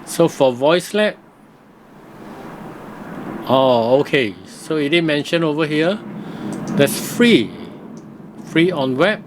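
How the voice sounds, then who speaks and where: Male tutorial host, fairly high-pitched, casual, hesitant step-by-step commentary. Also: slow, pauses often, noisy background. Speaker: software reviewer, host.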